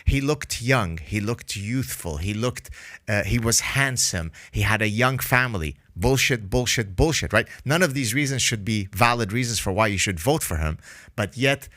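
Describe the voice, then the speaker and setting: A man's voice: on the low side, lively intonation, normal speed, a bit bright, no echo, studio quality. A man whose first language is Arabic, a podcast guest explaining ideas emphatically with vivid analogies. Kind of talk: podcast discussion.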